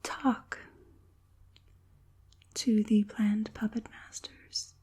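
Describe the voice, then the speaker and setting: Woman playing a slow, whispering evil witch puppet master. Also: medium pitch, fairly even intonation, exaggerated voice. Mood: sinister, calm, businesslike. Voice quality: breathy whisper with occasional soft voiced words. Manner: unhurried, polite, hushed, deliberate with repeated affirmations. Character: evil witch puppet master, proud, doting, quietly controlling. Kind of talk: whispered ASMR roleplay.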